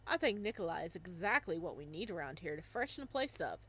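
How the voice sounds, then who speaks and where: A woman's voice: fairly low pitch, sing-song, fast talker, a bit bright, slightly rough, pitched below the performer's own voice, heavily acted. A woman voicing a genial male shop owner who hands out orders in a bossy, upbeat way. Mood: brisk. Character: brisk, genial older male shop boss.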